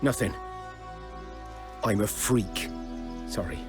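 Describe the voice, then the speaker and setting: An actor playing a weary outcast village hunter in a video game, rustic, plainspoken and guarded. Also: male voice, on the low side, expressive, slow, over music. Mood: sombre, guarded.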